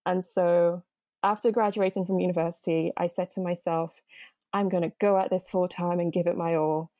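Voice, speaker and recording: A woman's voice: on the low side, steady tone, normal speed, warm tone, dry recording. A young woman from Nigeria, a podcast guest, telling her story in a chatty way with many fillers. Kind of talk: interview conversation.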